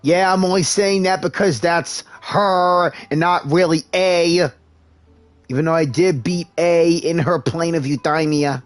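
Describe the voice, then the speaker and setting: High-voiced playful male game streamer. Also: a little slow. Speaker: gaming streamer. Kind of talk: live let's-play commentary, role-playing replies. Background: game music.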